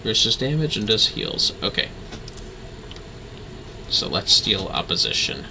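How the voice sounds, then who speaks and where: A man's voice: fairly low pitch, very animated, unhurried, vocal fry, noisy background. A man livestreaming a game with casual, playful commentary, often talking to himself and muttering skill names.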